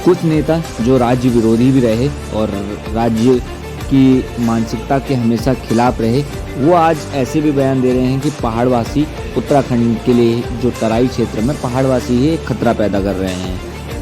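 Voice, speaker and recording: A man's voice: mid-range voice, normal speed, music in the background. A man presents a political explainer as an activist, speaking earnestly in formal diction. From Uttarakhand, India. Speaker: activist commentator. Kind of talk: political explainer to camera or voice-over.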